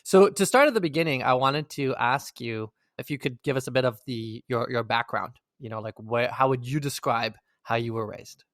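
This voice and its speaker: Young man, podcast host, mid pitch, chatty rambling questions, dry close mic. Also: moderate pace, hardly any pauses, fairly bright tone, dry recording. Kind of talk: casual interview talk.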